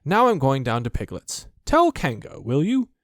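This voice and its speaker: A man's voice: mid-range voice, wildly swooping pitch, normal speed, no echo, clean studio sound. A man voicing a naive, good-natured toy bear in a storybook, speaking in an eager, wondering way. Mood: cheerful. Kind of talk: storybook character voice.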